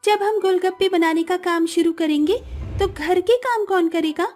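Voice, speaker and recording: A woman voicing excitable, bossy women of a family in a cartoon comedy, emphatic and exclaiming. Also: very high-pitched, normal speed, soft background music. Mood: eager. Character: bossy, excitable mother-in-law and daughter-in-law obsessed with street snacks.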